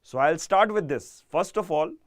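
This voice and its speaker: A man with an Indian accent giving a live online exam-prep engineering lecture, speaking deliberately and emphatically and repeating key phrases. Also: fairly high pitch, animated, dry recording, studio quality.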